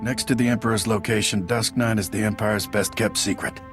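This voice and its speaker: Guy doing a smug cynical spy in a video game, kinda low voice, talks down to you, music playing behind. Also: fairly even intonation, fairly quick, lightly acted. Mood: smug, explanatory. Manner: sardonic, condescending. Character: cynical, scheming male intelligence agent, coldly condescending. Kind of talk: video game dialogue acting.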